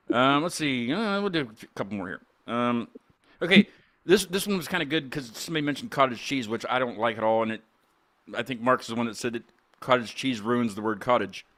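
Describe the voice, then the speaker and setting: A man's voice: medium pitch, fairly bright tone. A man hosting a casual group chat, rambling with stammered restarts and frequent laughs. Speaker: podcast host.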